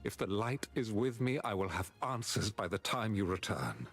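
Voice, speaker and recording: An actor playing an earnest, dutiful knightly warrior in a fantasy video game, speaking formally and gravely. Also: male voice, lowish voice, sing-song, normal speed, over music. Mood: resolute. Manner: formal, declamatory.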